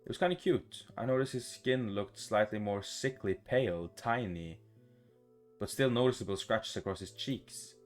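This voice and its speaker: A man with a fairly low voice reads a visual novel aloud in a gaming playthrough, with clear guitar music behind him. He trips on words here and there and drops in his own comments. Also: lively intonation, normal speed. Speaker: gaming YouTuber.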